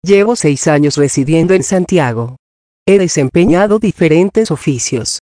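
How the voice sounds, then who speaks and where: A Colombian man who works as a construction foreman, giving a personal testimony in measured, formal wording. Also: on the high side, animated, warm tone, no room sound, studio quality.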